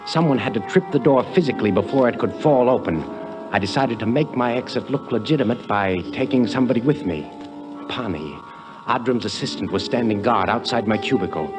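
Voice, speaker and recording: An actor playing a tough, wary American adventurer in a 1950s radio drama, with a terse, hard-boiled delivery. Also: male voice, mid-range voice, animated, fairly quick, natural voice. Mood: tense narration. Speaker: actor and first-person narrator in a radio drama. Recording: vintage 1950s radio drama, music behind.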